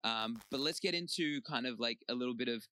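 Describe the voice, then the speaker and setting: A man's voice: medium pitch, brisk, pushed and bright, no room sound. Upbeat Australian man hosting an interview. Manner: enthusiastic, hypey, lots of fillers.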